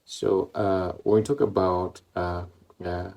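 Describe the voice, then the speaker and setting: A man's voice: low voice, flat delivery, slow pace, a little mellow. A man from East Africa, speaking English as a second language, talks casually about a football match and rambles with many fillers and repeats. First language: Swahili or Maloko. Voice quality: gravelly, husky. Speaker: football vlogger.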